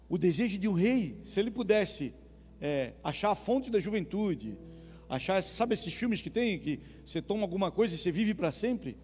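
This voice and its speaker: High-voiced southern Brazilian pastor. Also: male voice. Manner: conversational, asks the congregation questions. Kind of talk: sermon. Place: church hall. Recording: reverberant church hall with soft music.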